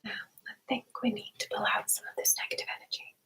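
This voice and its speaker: A woman hosting an ASMR stream, speaking in a soft whisper with a gentle, soothing, unhurried manner. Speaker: ASMR live stream host. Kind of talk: ASMR personal attention roleplay.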